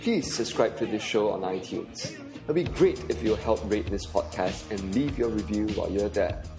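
A man's voice: fairly low pitch, lively intonation, normal speed, over music. A man with a Singaporean accent, hosting a podcast and narrating an audio tour with earnest instruction. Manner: earnest, instructive, with occasional uh fillers.

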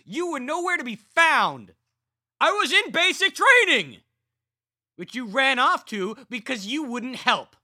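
A man voicing an angry soldier brother who shouts strained accusations. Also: very high voice, big pitch swings, unhurried, pitched above the performer's own voice, heavily acted. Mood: shouting.

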